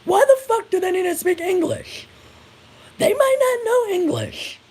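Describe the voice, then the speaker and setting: A woman's voice: very high voice, lively intonation, a little slow, a little mellow. A woman with an American accent giving a casual opinion rant to viewers, blunt and indignant, swearing freely. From United States. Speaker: vlogger.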